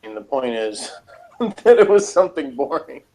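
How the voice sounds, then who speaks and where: Animated, jokey man chatting. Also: medium pitch, moderate pace, low-quality recording. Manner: animated and jokey, laughs often, acts out quoted lines. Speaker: podcast co-host. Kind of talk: casual chat reviewing a TV episode.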